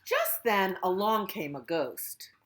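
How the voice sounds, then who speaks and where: A woman children's librarian reading a picture book aloud at storytime, slow and expressive. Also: mid-range voice.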